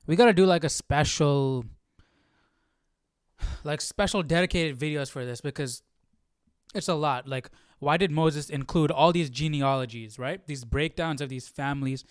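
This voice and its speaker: A man's voice: on the high side, moderate pace, smooth, no echo, clean studio sound. A man hosting a livestream, chatting casually and thinking aloud in a rambling way. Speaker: livestream host of a Bible study series.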